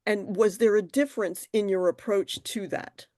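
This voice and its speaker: A woman's voice: mid-range voice, fairly even intonation, normal speed, a little mellow, dry recording, studio quality. A woman interviewer asking thoughtful questions in a conversational way, sometimes restarting her phrases.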